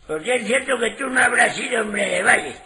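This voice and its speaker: A man's voice: very high voice, expressive, fairly quick, creaky, sharp tone, heavily acted. A man acting in a radio comedy serial as a sly, sentimental, meddling grandfather in his eighties, given to exclamations and interjections. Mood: meddling, reasoning.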